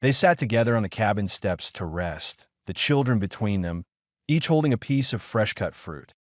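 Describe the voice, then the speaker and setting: A man narrating a scripted science fiction story in measured, short phrases with dramatic pauses. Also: fairly low pitch, expressive, no echo, clean studio sound. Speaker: audiobook narrator.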